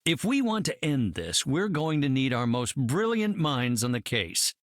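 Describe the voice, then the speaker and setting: An animated, articulate scientist hero. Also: male voice, mid-range voice, a little slow, no room sound, clean studio sound. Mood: diplomatic. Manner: articulate, formal, matter-of-fact. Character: earnest, self-assured brilliant male scientist hero.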